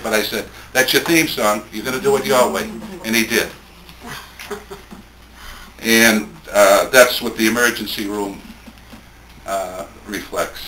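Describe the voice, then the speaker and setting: A man speaking at a live poetry tribute in a plainspoken, measured way, between informal introduction and reading. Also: mid-range voice, pushed and bright. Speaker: speaker reading a late friend's poems at a tribute. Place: indoor room at a live poetry event. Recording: roomy, echoing event recording with faint noise.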